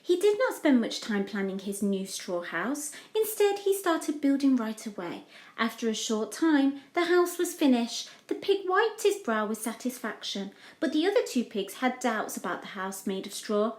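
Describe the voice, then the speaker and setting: High-voiced woman storyteller in echoey room. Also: sing-song. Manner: deliberate, emphatic phrasing for young listeners. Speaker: storyteller, children's teacher. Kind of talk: expressive children's storybook reading.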